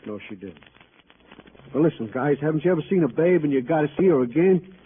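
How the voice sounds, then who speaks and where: A cornered American murder suspect acted by a man pleads and dodges with a mid-range, highly animated voice. It is old radio drama, thin and tinny with faint noise. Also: fast, close to an everyday voice. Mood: wheedling, pleading. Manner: pleading, evasive, stammering. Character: cornered male murder suspect, defensive and wheedling. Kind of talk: 1950s radio crime drama acting. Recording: tinny, noisy vintage radio recording.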